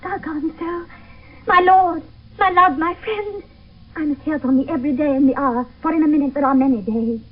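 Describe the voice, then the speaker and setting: A tinny old radio broadcast of classical verse drama. An actress plays a young noblewoman with a high voice, grieving and in love. Her delivery is declamatory and impassioned. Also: moderate pace, lightly acted. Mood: tender, foreboding.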